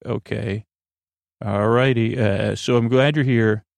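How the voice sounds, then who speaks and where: A man with a creaky voice hosting a bedtime podcast, rambling in a lulling, halting way and often interrupting himself as he drifts into tangents. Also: on the low side, fairly even intonation, no room sound. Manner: meandering, halting, digressive, self-interrupting; soothing, lulling. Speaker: podcast host.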